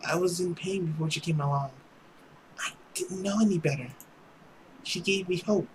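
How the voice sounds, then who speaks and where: A man reading a visual novel's gentle, grieving young orphan woman in a soft, solemn manner. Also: high-pitched, moderate pace, background noise, pitched above the performer's own voice, lightly acted. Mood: grieving, wistful. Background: guitar music.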